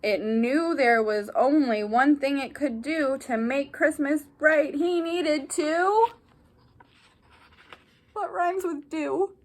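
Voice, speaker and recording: A woman with a high voice reads a children's story aloud at a slow, deliberate pace. She sounds like a teacher with a class, expressive and animated, speaking directly to her young listeners. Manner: animated, addresses young listeners with asides and questions. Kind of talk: expressive children's picture-book read-aloud.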